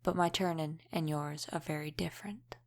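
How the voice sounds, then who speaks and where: Low-voiced, world-weary vampire woman, acted. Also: steady tone. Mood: reminiscing, matter-of-fact. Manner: measured, matter-of-fact, lightly wry. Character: centuries-old female vampire, world-weary, guarded mentor. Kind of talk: scripted audio roleplay, addressed to the listener.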